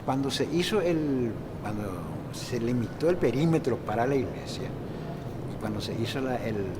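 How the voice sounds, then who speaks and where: Older man from Paraguay, halting storyteller, mid-pitched, with clear outdoor noise behind. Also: animated. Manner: rambling, halting, reminiscing. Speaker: local guide and interviewee. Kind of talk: casual storytelling interview.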